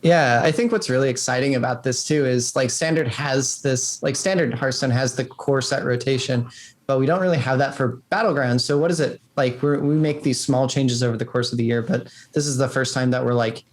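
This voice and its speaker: A man's voice: medium pitch, steady tone, fairly quick. Male game developer guest, middle register, informal interview chat.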